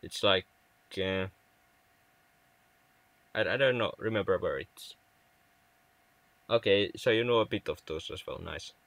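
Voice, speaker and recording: A man's voice: low-pitched, measured pace, vocal fry, pauses often, fairly bright tone, dry recording. A young man from Finland with a Finnish accent, chatting casually with viewers on a game livestream, often stumbling and restarting words. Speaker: livestream gamer.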